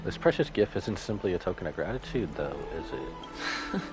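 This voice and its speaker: Calm, guarded young man. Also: low voice, low-quality recording. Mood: wary. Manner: polite, measured, reserved. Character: calm, guarded young male companion, courteous and cautious. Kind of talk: video game dialogue. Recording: game music behind.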